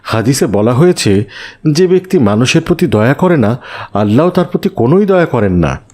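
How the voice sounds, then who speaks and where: Guy doing a pious cartoon dad, gentle but preachy, really lively intonation, earnest and measured. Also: mid-range voice. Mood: earnest teaching. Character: gentle, pious father admonishing his daughter. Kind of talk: cartoon moral-story dialogue.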